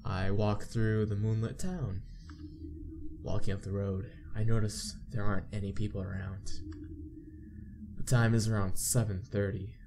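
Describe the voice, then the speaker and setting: A man's voice: on the low side, flat delivery, unhurried, background noise. A man hosting a visual novel playthrough, reading the on-screen story aloud and commenting casually. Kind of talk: casual game narration, reading text aloud. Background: game background music.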